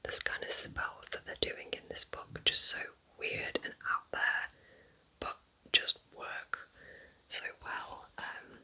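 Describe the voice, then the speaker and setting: Casting: female ASMR presenter, constant airy whisper, gentle informal delivery in soft short phrases. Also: takes it slowly, rough recording. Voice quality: breathy unvoiced whisper. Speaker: ASMR creator presenting book recommendations. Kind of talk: whispered ASMR, casual book chat.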